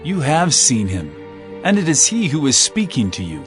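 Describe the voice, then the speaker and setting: A man's voice: medium pitch, wildly swooping pitch, moderate pace, music in the background. A man acting a solemn, authoritative holy teacher in a dramatized audio Bible, speaking with deliberation.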